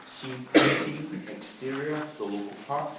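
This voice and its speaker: A man's voice: mid-range voice, a little slow, far from the mic. A man reading out stage directions and sound cues from a script. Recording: echoey room, off mic, clear noise.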